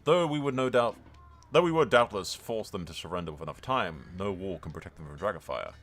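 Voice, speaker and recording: A man's voice: sits low, lively intonation, brisk, fairly bright tone, heavily acted. A man roleplaying a proud, wrathful warrior prince who rides a dragon, declaiming defiantly in a fantasy game. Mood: grim, menacing.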